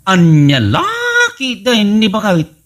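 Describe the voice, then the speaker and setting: Exasperated husband with highish, swooping voice, acted by a man. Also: huge pitch swings, a little slow, fairly bright tone, heavily acted. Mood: incredulous. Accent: Ilocano. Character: exasperated husband of a fussy returnee woman. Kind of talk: Ilocano comedy radio drama acting.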